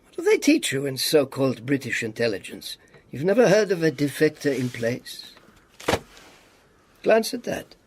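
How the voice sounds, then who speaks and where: An actor playing a weary, sardonic but affectionate communist spy and battered survivor in a radio drama, with theatrical, deliberate, clipped phrasing. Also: male voice, mid-range voice, huge pitch swings, slight background noise. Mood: sly, coaxing.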